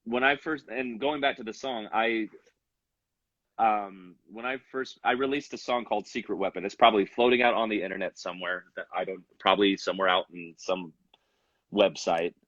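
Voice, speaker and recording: Low-voiced man rambling about his music. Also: steady tone, normal speed, fairly bright tone, no room sound, muffled recording. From Salt Lake City. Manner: rambling, many fillers and restarts. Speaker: rapper, interview guest. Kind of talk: casual interview chat.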